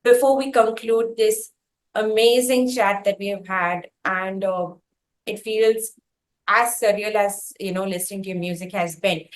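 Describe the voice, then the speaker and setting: A woman with an Indian accent hosting an interview, speaking haltingly in fairly formal phrases. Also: medium pitch, fairly even intonation, poor audio. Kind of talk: interview questions and chat.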